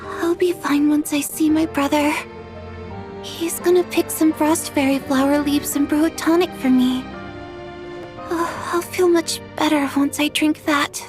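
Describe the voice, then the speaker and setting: A woman's voice: high-pitched, fairly even intonation, measured pace, music in the background. An actress playing a kind, frank young girl who is sickly but brave, in a video game. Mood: weak, reassuring.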